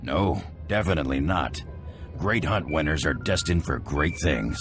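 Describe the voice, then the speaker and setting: A man's voice: extremely low pitch, expressive, over music. An actor playing a dignified male official of a warrior order with a formal manner in a video game. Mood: solemn approval. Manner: formal, measured.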